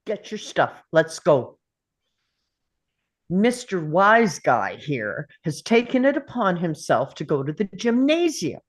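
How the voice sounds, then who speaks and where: Woman playing a slow, menacing male school principal. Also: fairly low pitch, very animated, full character voice. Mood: curt, sardonic. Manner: taunting, contemptuous, drawn-out goading. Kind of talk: table read of a film script.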